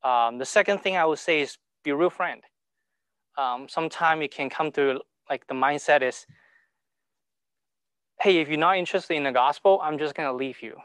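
Man, halting broken English, church talk, dry sound. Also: on the high side, fairly bright tone, clean tone, no echo. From Hong Kong. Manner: halting, repeats words, broken grammar. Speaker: speaker sharing testimony. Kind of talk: informal talk to a church group.

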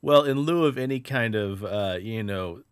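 A man hosting a podcast, telling strange stories in a casual, animated way and laughing often. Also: on the low side, normal speed, clear, resonant tone, no room sound, studio quality. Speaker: podcast host. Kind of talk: casual storytelling podcast chat.

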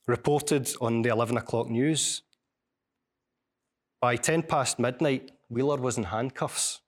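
A man with a strong Glasgow accent gives a university seminar, telling stories and reading a passage from his book. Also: medium pitch. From Scotland. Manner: storytelling, measured, with small restarts. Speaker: lecturer and author.

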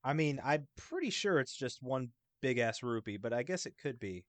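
A man's voice: medium pitch, animated, moderate pace, no room sound. A man in a casual chat about a video game, eagerly explaining details at length.

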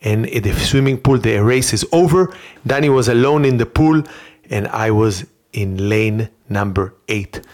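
A performer playing a childlike, boastful talk show host with an Israeli accent, speaking earnest, halting, repetitive broken English. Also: mid-range voice, darkish tone, close to an everyday voice. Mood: proud, wistful. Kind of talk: comedic children's talk show hosting and storytelling.

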